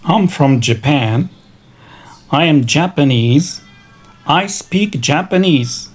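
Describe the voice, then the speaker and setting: A man teaching English vocabulary, reading country and nationality words in a slow, deliberate drill. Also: a little high, lively intonation, faint music behind. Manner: slow, deliberate, spells out each word. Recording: clear background noise.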